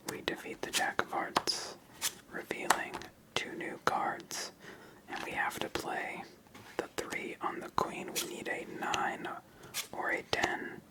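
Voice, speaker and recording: A host whispering ASMR commentary while playing a solo card game, explaining each move step by step in an unvoiced whisper. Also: poor audio. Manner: slow, step-by-step narration of moves.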